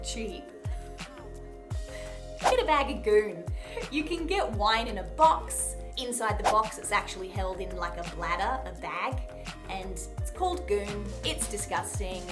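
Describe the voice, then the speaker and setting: A woman's voice: mid-range voice, animated, unhurried, over music. A woman with an Australian accent hosting a video, chatting informally through tips in an upbeat, emphatic way. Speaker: video host, accent tutor. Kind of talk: chatty scripted travel tips to camera.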